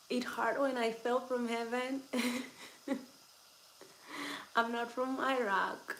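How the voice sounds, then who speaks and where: A woman's voice: fairly high pitch, steady tone, extremely slow, pauses often, a bit bright. A woman hosting a casual livestream from home, chatting and teasing viewers, laughing often. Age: adult over twenty-six. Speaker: livestream host. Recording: echoey room with faint background noise.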